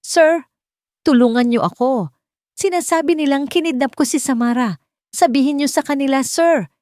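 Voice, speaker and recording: A desperate young nanny from an audio love drama, acted out by a woman narrator. She pleads urgently in a raised, high voice that threatens to crack. The sound is close and dry. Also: moderate pace, a little mellow, dry recording, natural voice. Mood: desperate pleading.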